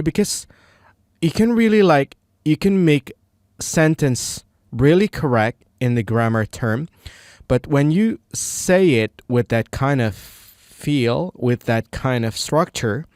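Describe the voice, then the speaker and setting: Slow-talking Indonesian man, clear voice. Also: mid-range voice, a little mellow, dry recording, clean studio sound. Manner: unscripted, many restarts and fillers. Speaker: language learner. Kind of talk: casual monologue.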